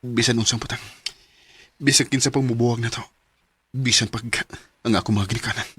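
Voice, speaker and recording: A devoted young man from a Cebuano radio play. His medium-pitched voice is husky, and he speaks earnestly and from the heart as a son and soon-to-be father. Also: steady tone, brisk, fairly bright tone, no room sound. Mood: loving. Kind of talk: radio drama acting.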